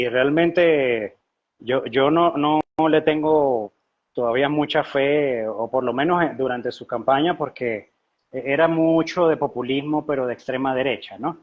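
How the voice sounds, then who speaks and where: Talkative Venezuelan man, slightly muffled audio. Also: on the high side. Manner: talkative, explanatory, with rhetorical questions. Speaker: guest economist and analyst. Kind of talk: conversational economic commentary. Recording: dull, lo-fi live stream audio.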